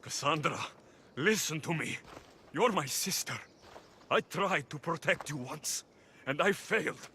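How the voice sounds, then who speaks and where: An earnest, protective young male warrior in a dramatic game scene. His voice sits a little high and moves expressively as he pleads, with slight noise in the background. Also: expressive, measured pace, pauses often, bright, forceful tone. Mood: earnest pleading. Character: earnest, protective young Greek mercenary brother. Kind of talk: dramatic video game acting.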